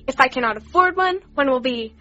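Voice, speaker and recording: An actress playing a flippant, slangy, defensive young witness in an audio drama. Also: female voice, sits high, lively intonation, fairly quick, bright, forceful tone. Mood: sassy, defensive. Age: young adult. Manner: sassy, slangy, sarcastic. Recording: dull lo-fi audio with music underneath.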